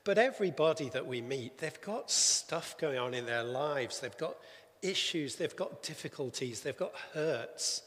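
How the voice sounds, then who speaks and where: Halting man preaching in a reverberant hall. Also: fairly high pitch, lively intonation, fairly bright tone. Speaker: church leader interviewing on stage. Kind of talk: sermon-style talk and interview. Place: church hall.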